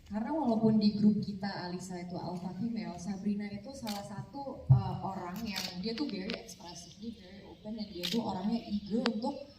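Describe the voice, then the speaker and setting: A woman's voice: mid-range voice, brisk, far from the mic. Actress explaining her character, thoughtful, tosses in english words, really echoey hall, noisy. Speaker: actress at a press conference. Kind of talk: answering press questions. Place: event hall.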